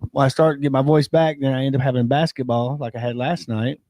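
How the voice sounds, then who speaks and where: A man's voice: mid-range voice, fairly even intonation, fast, a little mellow, no echo. Man with a lingering cold. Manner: rambling, many fillers, chuckles at his own story. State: has a lingering cold, keeps losing his voice. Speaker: co-host of a local wrestling and sports show. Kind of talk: casual chat, storytelling.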